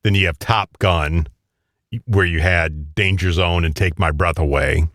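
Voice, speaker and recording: A man's voice: low voice, unhurried, a bit bright, no echo, clean studio sound. A man co-hosting a radio show, bantering casually as he reads out countdown lists.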